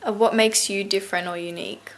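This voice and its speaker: A woman interviewer asking calm, direct questions. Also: medium pitch, quick pace.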